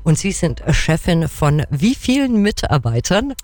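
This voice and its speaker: Lively radio host with an Allgäu accent. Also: female voice, low voice, a little slow. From Allgäu, Germany (Kempten). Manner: lively, lilting questions with fillers. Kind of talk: radio interview.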